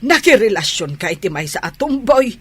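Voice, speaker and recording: A woman playing a worried, scolding village mother, husky and with an Ilocano accent, in a comedy radio drama. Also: mid-range voice, big pitch swings, moderate pace, dry recording.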